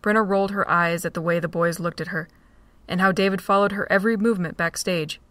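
A woman with a fairly low voice reads the narration of a fan audio drama. The sound is dry and close, with almost no room around it. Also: steady tone, fast, a bit bright, dry recording. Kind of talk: scripted narration.

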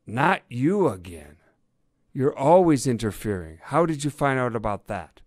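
A man's voice: on the low side, moderate pace, a little mellow, no echo, clean studio sound, close to an everyday voice. A man voicing an irritable, blustering badger police inspector in a storybook tale. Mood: irritated. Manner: gruff-tempered, huffy.

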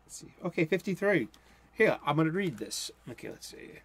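A man's voice: a little high, brisk, husky. Rambling Boston man preaching from home. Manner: rambling, self-interrupting, mumbles and speeds up when excited. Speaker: host. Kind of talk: casual spoken sermon. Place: cluttered home room, indoors.

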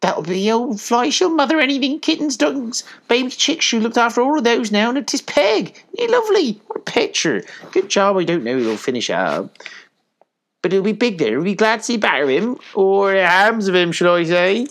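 A man's voice: sits high, sing-song, moderate pace, creaky, fairly bright tone, pitched above the performer's own voice, full character voice. A man voicing a chatty farmer's wife in a storybook, fond and gushing with exclamations. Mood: delighted, chatty.

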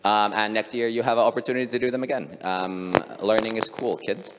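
A man's voice: fairly low pitch, fairly even intonation, brisk, noisy background. A man in his thirties from Finland, hosting a conference on stage with a handheld mic, chatty and joking with lots of fillers. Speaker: conference host. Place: large conference hall stage. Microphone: handheld microphone. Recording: long hall reverb.

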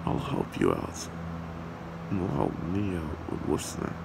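A man's voice: a bass voice, unhurried, exaggerated voice. A man voicing a possessive, cruel demon husband with a menacing, taunting manner in an ASMR roleplay. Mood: sinister, taunting. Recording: clearly noisy home recording, steady hum.

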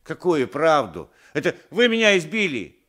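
Meek old peasant, lively pitch. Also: male voice, full character voice. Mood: indignant. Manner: hesitant, halting, homely. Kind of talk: Soviet radio play acting. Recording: 1980s radio drama recording.

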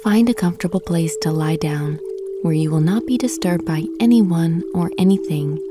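Low, soft female meditation guide. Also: noisy background. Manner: slow, soothing, gentle instruction with long pauses.

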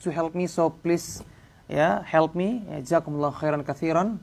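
A man in his thirties with an Indonesian accent, giving an informal religious lesson in halting English, filling pauses with "yeah" and "uh". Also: a little high, gravelly, darkish tone. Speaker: religious teacher. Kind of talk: informal lecture to a small class. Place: prayer room, indoors.

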